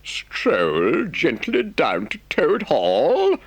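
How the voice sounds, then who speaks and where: A man's voice: mid-range voice, huge pitch swings, extremely slow, slightly rough, no echo, pitched above the performer's own voice, full character voice. A man voicing an earnest, indignant water rat in an audiobook, emphatic and dramatic as he speaks to a friend. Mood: agitated. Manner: emphatic, scolding, dramatic storytelling. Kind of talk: audiobook character voice.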